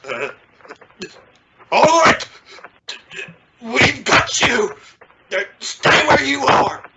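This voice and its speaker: A woman's voice: sits high, sing-song, drawn-out words, lo-fi sound, exaggerated voice. A young woman playing an exasperated, stammering father trying to sound reasonable in an old-time radio family comedy. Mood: frightened. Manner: stammering, sputtering. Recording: noisy recording with clicks.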